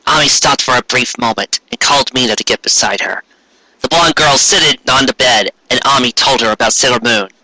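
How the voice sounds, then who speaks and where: Male narrator for amateur fiction reading: very forceful, bright tone, a bit husky, occasionally stumbling. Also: medium pitch, fairly quick, low-quality recording. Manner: stumbles over words while reading. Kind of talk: dramatic reading of fan fiction.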